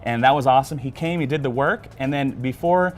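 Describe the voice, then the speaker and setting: Quick upbeat man explaining business tips. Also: medium pitch. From Arizona, USA. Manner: friendly, upbeat, anecdotal with fillers. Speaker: regular business guest. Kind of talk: casual explanatory chat.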